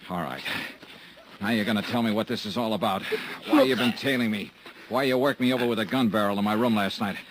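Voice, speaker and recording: A man's voice: on the low side, fast, close to an everyday voice. An actor playing a tough, sarcastic male insurance investigator in a 1950s radio drama, also narrating in first person. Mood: menacing. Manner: hard-boiled, sarcastic. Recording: faint hiss of old radio transcription.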